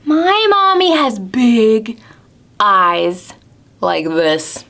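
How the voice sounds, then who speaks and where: A woman voicing a small lost baby owl who whimpers for its mother in a high childlike voice. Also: lively intonation, drawn-out words, a bit bright, full character voice. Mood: plaintive.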